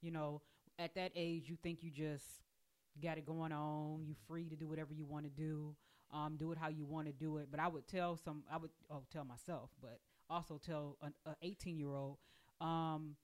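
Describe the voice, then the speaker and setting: A woman's voice: deep voice, level tone, moderate pace. A woman in her forties, a podcast guest, telling her story chattily with many fillers. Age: 40s. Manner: warm storytelling, many "you know" fillers. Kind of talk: casual group conversation.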